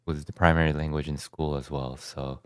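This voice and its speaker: A young American man telling his life story as a podcast guest, talking in a relaxed, rambling way with many restarts. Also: a bass voice, a little fast, clear, resonant tone, dry recording, studio quality. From United States. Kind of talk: casual conversation.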